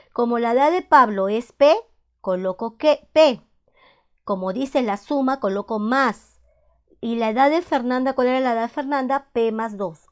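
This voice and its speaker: A woman math teacher, patiently explaining algebra step by step, repeating each point and asking checking questions. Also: on the high side. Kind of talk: slow step-by-step classroom explanation.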